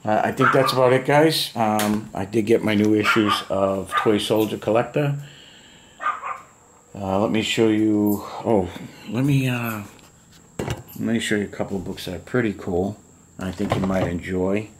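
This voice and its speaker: Middle-aged man, very low voice, loose rambling show-and-tell, faint hiss behind. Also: unhurried. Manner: rambling, musing aloud, many fillers. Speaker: model kit collector showing books. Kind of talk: casual show-and-tell vlog. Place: home room full of model kit boxes.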